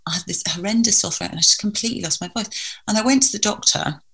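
Bright-toned woman, earnest and reflective. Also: mid-range voice, fast, husky. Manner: earnest, reflective, speaks in long winding sentences. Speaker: guest. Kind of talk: heartfelt personal conversation.

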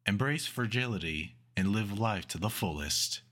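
An actor playing a zealous, emphatic announcer selling hard in a parody radio commercial. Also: male voice, lowish voice, lively intonation, moderate pace, a bit bright. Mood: enthusiastic selling. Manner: emphatic, salesy.

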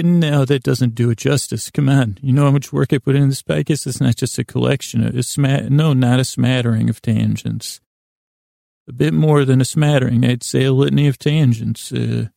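Creaky, rambling man with a mellow tone. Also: mid-range voice, no echo, studio quality. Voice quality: creaky, gravelly. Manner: halting, stammering, meandering, keeps restarting words; self-deprecating. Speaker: host of a bedtime sleep podcast. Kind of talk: rambling, tangential bedtime monologue.

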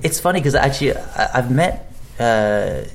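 A man's voice: medium pitch, animated, moderate pace, creaky. A man, an actor, chatting casually in an interview, rambling with many restarts and fillers. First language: English. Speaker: actor guest.

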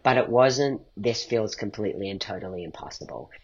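Husky, low-voiced man in muffled audio. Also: expressive, brisk. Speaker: guest, playwright and podcast creator. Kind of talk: reflective interview conversation. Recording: dull, muffled lo-fi sound.

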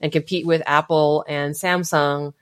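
A woman co-hosting a tech podcast, chatting casually and quickly making her point. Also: deep voice, level tone, clear, resonant tone, no room sound, studio quality. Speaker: podcast co-host.

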